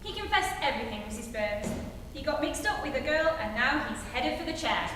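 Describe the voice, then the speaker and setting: Brusque high-voiced cop, acted by a woman, echoey hall. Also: expressive, brisk, distant mic. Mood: dry, interrogating. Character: brusque, suspicious police homicide officer. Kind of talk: old-time radio comedy re-enactment on stage. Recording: echoey theatre hall from afar, noisy.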